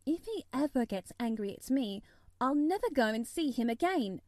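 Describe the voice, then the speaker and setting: A woman's voice: high voice, sing-song, normal speed, darkish tone, no echo, studio quality. A woman voicing a frank, self-willed young girl who speaks earnestly and candidly in a storybook reading. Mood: defiant.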